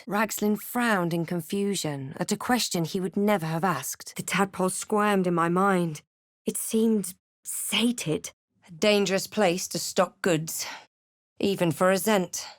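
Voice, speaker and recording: A snarky brooding spellcaster girl from a fantasy game, slow and theatrical, lowish voice, really dry close recording. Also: no room sound. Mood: wry, snarky. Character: female adventurer hero, snarky, brooding, spell-casting. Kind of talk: fantasy video game voice lines, narration and barks.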